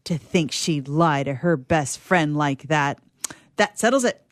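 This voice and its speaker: Petulant young woman, wildly animated as an actress plays her. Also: deep voice, measured pace, dry recording, clean studio sound. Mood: huffy, vain. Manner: exasperated, theatrical complaining. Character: petulant, self-absorbed young woman grumbling to her mother. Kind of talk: old-fashioned dramatic monologue for radio theatre.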